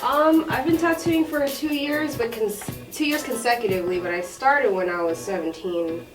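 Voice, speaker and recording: A woman's voice: on the high side, moderate pace, poor audio. A chatty woman around twenty, born in Japan, a tattoo artist answering interview questions casually and laughing often. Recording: loud background music. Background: loud music.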